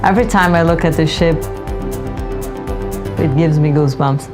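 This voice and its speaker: A middle-aged woman from Brazil speaks as a project manager in a promotional interview. Her voice sits low, and background music runs clearly under her words. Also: moderate pace. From Brazil, later Germany. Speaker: senior consultant, project manager. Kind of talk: interview soundbites in a promotional film. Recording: music behind the voice.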